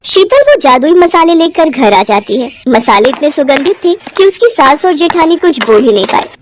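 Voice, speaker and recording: A woman's voice: high voice, sing-song, normal speed, slight background noise. A woman narrating a scripted bedtime story as the storyteller.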